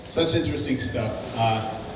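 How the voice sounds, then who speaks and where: A man's voice: medium pitch, moderate pace. Joking male event host in an echoey hall. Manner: loose, filler-heavy, joking with the audience. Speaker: event host introducing speakers. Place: event room with audience. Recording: echoey hall, distant mic, room noise.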